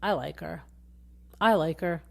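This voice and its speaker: A woman in her thirties chats through a podcast recap in a slightly low voice. She is highly expressive, and her pitch swings up and down as she talks. She rambles loosely, with plenty of fillers and restarts. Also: unhurried, vocal fry. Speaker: podcast host. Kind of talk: casual reality TV recap.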